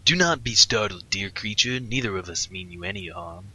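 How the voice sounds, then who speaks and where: Man playing a low-voiced kindly Christmas elf. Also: expressive, moderate pace, pushed and bright, slight background noise, low-quality recording. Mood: gentle, formal. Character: calm, kindly, reassuring male Christmas elf.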